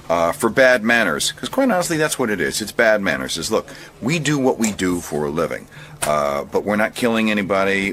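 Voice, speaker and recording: Deep-voiced man talking emphatically. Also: a bit bright. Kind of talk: reflective unscripted talk.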